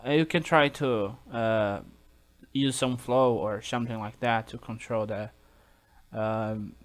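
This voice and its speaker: A man with a Brazilian accent talks through a programming tutorial in English, which is not his first language. He speaks at a very slow, halting pace, full of fillers and restarts, at a middling pitch, with keyboard typing behind him. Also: fairly even intonation. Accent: Brazilian Portuguese. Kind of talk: screen-recorded coding tutorial.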